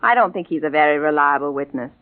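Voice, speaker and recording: An actress playing a sensible, dry-witted wife and mother in an old-time radio comedy-drama, gently skeptical and questioning. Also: deep voice, lively intonation, fast, no echo. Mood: wry. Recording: muffled, dry vintage radio recording.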